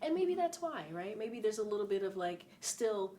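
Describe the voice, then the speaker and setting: A woman's voice: medium pitch, sing-song, normal speed, mellow. A middle-aged woman speaking as an interview guest, earnest and emphatic, often repeating key phrases. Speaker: interview guest, former press secretary. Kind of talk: conversational interview.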